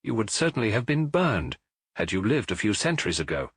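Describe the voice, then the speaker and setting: A man acts out an astonished, good-natured gentleman doctor from a storybook. His voice lifts and swings with earnest disbelief, a loyal friend baffled and mildly indignant. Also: fairly low pitch, big pitch swings, a little fast, husky, no room sound, clean studio sound. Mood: baffled, exasperated.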